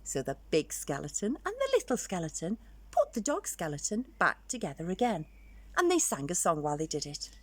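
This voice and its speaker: A woman's voice: on the low side, wildly swooping pitch, a little fast, no room sound. A woman storyteller reading a children's picture book aloud expressively, drawing out words for dramatic emphasis.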